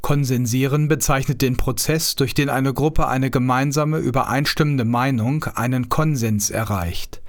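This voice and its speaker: Man calmly reading an explainer. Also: mid-range voice, moderate pace, few pauses. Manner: calm, even explaining. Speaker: narrator. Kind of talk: scripted explanatory narration.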